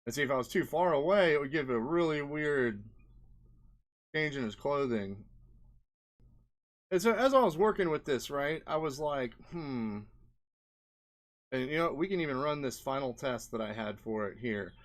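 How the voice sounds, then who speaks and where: A man's voice: mid-range voice, lively intonation, normal speed, pauses often. A man giving an unscripted, casual walkthrough as a presenter, rambling conversationally and often checking in with the listener. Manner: rambling, conversational, often seeks agreement with "right?" and "you know". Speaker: indie game developer, presenter.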